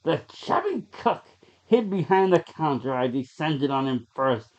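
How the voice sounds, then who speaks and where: A man reading a horror story aloud as a dramatic narrator, with deliberate, suspenseful delivery. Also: a little high, sing-song, darkish tone. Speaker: story reader. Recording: dull, lo-fi sound.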